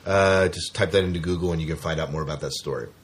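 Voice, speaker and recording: Male podcast host, lowish and raspy-edged, chatty and informal news delivery. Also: fast. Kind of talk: casual sci-fi news chat.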